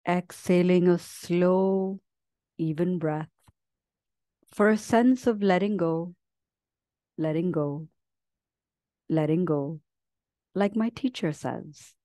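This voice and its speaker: A woman leading a guided meditation as a teacher, speaking gently and leaving long, unhurried pauses between phrases. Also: on the low side, no echo. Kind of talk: guided meditation, slow soothing instruction.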